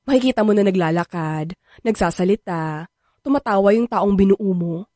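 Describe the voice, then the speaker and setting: A woman's voice: medium pitch, dark tone, dry recording, clean studio sound, close to an everyday voice. An actress playing a playful, persistent young woman in a radio drama, coaxing and teasing, with a Filipino accent. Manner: coaxing, teasing, drawn-out words. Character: playful, persistent, sweet-talking young woman wanting a baby.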